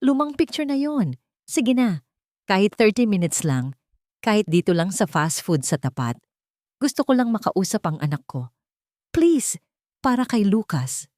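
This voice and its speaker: Woman as estranged husband, soft begging, melodramatic, manipulative, audio story, dry sound. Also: mid-range voice, very animated, a little slow, pauses often, dry recording, voice pushed up. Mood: panicky pleading. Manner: soft, pleading, dramatic. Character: pleading, deceitful estranged husband begging to see his son.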